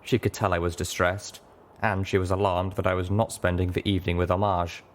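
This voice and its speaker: A man's voice: low-pitched, level tone, a little fast. A man reading a scripted first-person story as an audiobook narrator.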